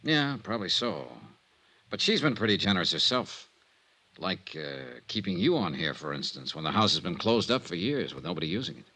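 An actor playing a tough, wry investigator, clipped and matter-of-fact, in a 1950s radio detective drama. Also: male voice, low-pitched, very animated, brisk, pushed and bright, full character voice. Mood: probing. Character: tough, wry male insurance investigator narrating his case.

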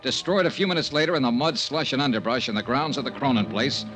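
A man's voice: mid-range voice, expressive, fast, fairly bright tone, close to an everyday voice. An actor playing a tough, shrewd male investigator in an old time radio mystery, speaking in a clipped, knowing way. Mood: terse narrating. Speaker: lead actor and narrator. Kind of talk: old time radio detective acting and narration. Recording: vintage radio recording with music.

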